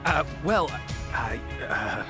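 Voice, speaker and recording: An actor playing a courteous, evasive middle-aged doctor in a dramatic video game cutscene, with a hesitant, formal manner. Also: male voice, mid-range voice, very animated, slow pace, music in the background. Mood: nervous, flustered.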